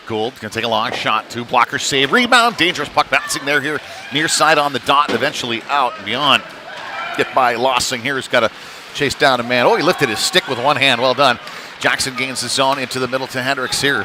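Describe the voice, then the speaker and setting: Man calling live hockey, noisy rink behind. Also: mid-range voice. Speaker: play-by-play hockey commentator. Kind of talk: live sports commentary of youth hockey. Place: cold ice rink during a live stream. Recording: clear venue noise behind the voice.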